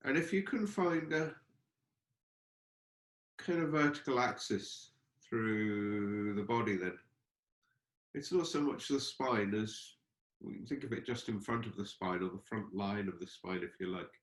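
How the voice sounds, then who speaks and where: A man with a fairly low voice guides a meditation in a roomy, echoing space. He speaks as a teacher, gently and without hurry. His phrases are exploratory, with pauses between them.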